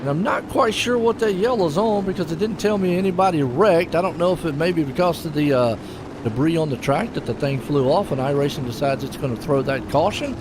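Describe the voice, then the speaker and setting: Casting note: chatty male sim race host, slightly high voice, loud engine noise behind him. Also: brisk. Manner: chatty and folksy, jokes with his co-host. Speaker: race commentator and stream host. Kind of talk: casual sim racing commentary. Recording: noisy broadcast mix with engine noise.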